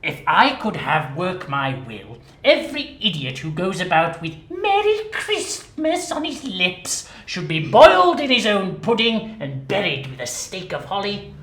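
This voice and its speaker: A man's voice: huge pitch swings, normal speed, few pauses, full character voice. An Englishman barking as a miserly old grouch. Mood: bitter. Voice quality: loud, barking. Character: miserly, bitter old male moneylender, snarling. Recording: echoey room, faint rumble.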